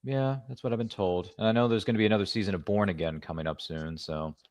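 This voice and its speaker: A man with a very low voice hosts a live interview, talking quickly in a casual, rambling way full of jokey asides. The sound is dry and close, with no room around it. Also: darkish tone, no echo. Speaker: podcast host.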